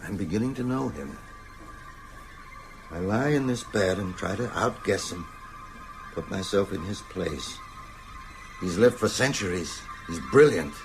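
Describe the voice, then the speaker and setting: A man's voice: deep voice, lively intonation, unhurried, pauses often, over music, low-quality recording. An actor playing a bedridden, shrewd man who urges on vampire hunters with emphatic, oratorical speech in a radio drama. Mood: grave, brooding. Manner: emphatic, rhetorical, oratorical delivery. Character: bedridden male vampire hunter, shrewd, commanding, urgent strategist.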